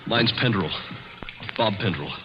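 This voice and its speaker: A man's voice: fairly low pitch, animated, background noise. An actor playing a nervous, polite but desperate young man in a 1940s radio drama. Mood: flustered. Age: young adult. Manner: jittery, halting, urgent. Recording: muffled old radio broadcast with music behind.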